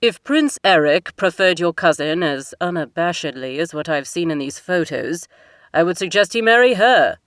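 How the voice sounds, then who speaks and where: A woman's voice: fairly low pitch, lively intonation, no room sound, clean studio sound, close to an everyday voice. A woman voicing a stern older king speaking formally to his daughter. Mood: formal firm. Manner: measured, formal, authoritative.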